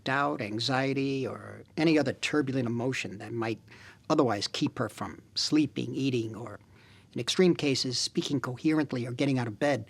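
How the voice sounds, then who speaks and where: A man with a husky voice reading literary prose aloud. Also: mid-range voice.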